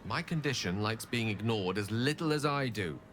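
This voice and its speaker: A man's voice: lowish voice, lively intonation, a bit bright, a little hiss or hum. An actor playing an urbane, formally spoken male adventurer in a fantasy video game. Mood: urgent. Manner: formal, eloquent, measured wording. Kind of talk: video game character acting.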